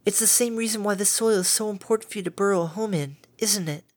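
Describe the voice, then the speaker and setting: A woman voicing a timid, gentle female pegasus pony who speaks softly and soothingly. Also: mid-range voice, fast talker, pitched above the performer's own voice, natural voice. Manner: soft, soothing, gently pleading.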